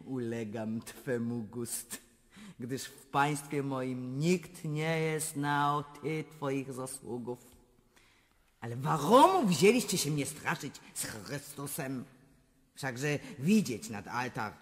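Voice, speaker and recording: An actress playing an imperious, capricious mature empress in a radio drama, with a German accent, broken Polish and haughty bits of French and German. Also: female voice, very deep voice, expressive, slow, heavily acted. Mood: condescending. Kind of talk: theatrical radio drama acting. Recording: long hall-like reverb.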